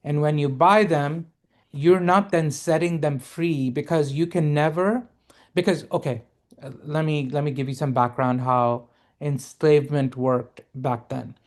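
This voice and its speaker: A man teaching a reading lesson, explaining slowly and patiently and checking often that his students follow. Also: a little high, steady tone. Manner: patient, explaining, checks understanding with "right?" and "okay?". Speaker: Arabic teacher.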